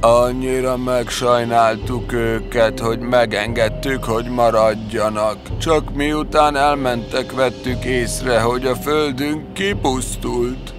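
A man's voice: mid-range voice, fairly even intonation, few pauses, close to an everyday voice. A man voicing an indignant, theatrical creature in a dubbed children's fairy tale cartoon, speaking emphatically. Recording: music under the voice.

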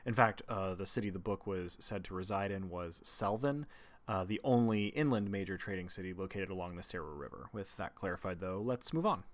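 A man's voice: sits low, brisk. A man speaking as game master, moderating a tabletop roleplaying game in an orderly, explanatory manner.

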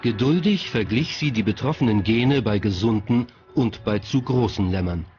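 A man's voice: fairly low pitch, normal speed, darkish tone, muffled recording, soft background music. A man reading scripted documentary narration in the role of narrator.

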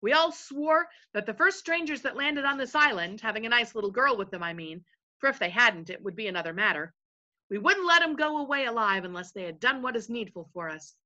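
A woman reads as a folksy invisible chieftain from a storybook, bright and forceful. The talk rambles and repeats itself in plain colloquial turns, bossy yet timid, on a slightly dull recording. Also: on the high side, lively intonation, a little fast. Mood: chatty, menacing. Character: invisible leader, rambling, matter-of-fact, folksy, timid bully.

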